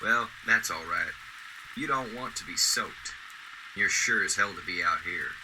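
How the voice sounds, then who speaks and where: An actor playing a kindly middle-aged garbage truck driver in a radio drama, warm, polite and folksy. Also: male voice, deep voice, measured pace, noisy background. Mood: warm, playful. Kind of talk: golden-age radio drama acting.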